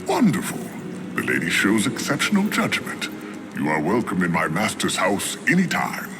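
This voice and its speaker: An actor playing a flamboyant, scheming demon in a fantasy video game, oily and theatrical, with courtly, mocking, grandiose phrasing. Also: male voice, low voice, wildly swooping pitch, moderate pace, noisy background. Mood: silky, smug.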